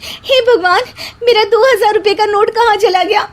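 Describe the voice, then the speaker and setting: A woman voicing a lazy, scheming young daughter-in-law in a cartoon, loud, whiny and melodramatic. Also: very high voice, moderate pace, pitched above the performer's own voice. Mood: fake panic.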